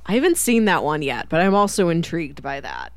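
A woman's voice: deep voice, big pitch swings, brisk, dry recording. A woman hosting a podcast, chatting casually and responding to her co-hosts. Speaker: podcast host. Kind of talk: casual chat with short listener responses.

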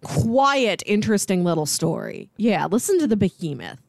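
A woman's voice: medium pitch, sing-song, moderate pace, gravelly, no echo, studio quality. A woman co-hosting a casual film podcast, explaining things in an earnest, conversational way. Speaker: podcast co-host.

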